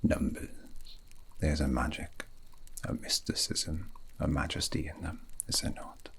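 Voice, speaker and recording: A man playing a calm, wise elven mage in an ASMR roleplay, speaking in a breathy, gravelly half-whisper with a slow, poetic, soothing manner. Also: very deep voice, heavily acted. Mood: soothing, musing. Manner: slow, measured, poetic, tender.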